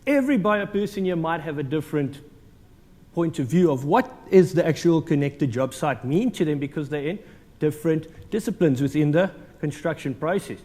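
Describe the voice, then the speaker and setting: A man's voice: on the high side, animated, moderate pace, a little mellow. South african guy talking at a conference, chatty and jokey, lots of ums, echoey big hall. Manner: jokey, conversational, many ums and uhs. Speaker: conference speaker. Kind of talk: conference presentation.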